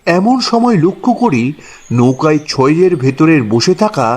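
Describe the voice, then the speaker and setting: Guy telling a horror story as a boatman, dramatic, pitch jumps around a lot. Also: big pitch swings, unhurried, a little mellow. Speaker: narrator acting as a boatman. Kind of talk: dramatic first-person horror story narration.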